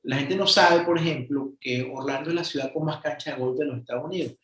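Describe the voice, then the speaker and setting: A man from Venezuela co-presents a webinar, adding figures and side remarks in an informative way. His voice runs a little high for a man. The room has a noticeable echo. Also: fast talker, fairly bright tone.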